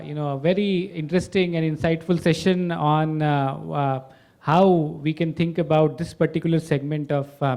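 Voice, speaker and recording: A man's voice: fairly high pitch, dark tone. A man moderating a panel discussion, speaking hesitantly with many fillers.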